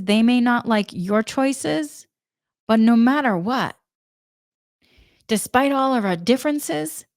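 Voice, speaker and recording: A woman's voice: fairly high pitch, no echo. A woman from Massachusetts talks through a spiritual energy forecast, her faint Boston accent showing through. She is earnest and meandering, circling back and restarting when a word trips her up. The recording is dry and close. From Massachusetts, New England. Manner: earnest, rambling with restarts and stumbles. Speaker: spiritual channel host.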